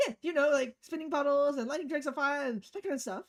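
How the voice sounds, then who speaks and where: A woman's voice: high-pitched, fast talker, pitched above the performer's own voice, natural voice. A woman voicing a bubbly, eager young female idol singer from a visual novel game, chirpy and theatrical. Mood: perky.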